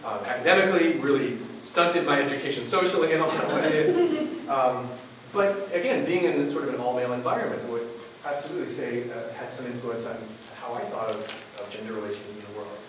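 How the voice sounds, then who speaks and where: A man in his forties from Buffalo, New York, giving a relaxed public lecture as a professor, with frequent ums and restarts. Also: medium pitch, a little fast, hardly any pauses. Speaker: university professor. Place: campus lecture hall. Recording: echoey hall from far off with noise.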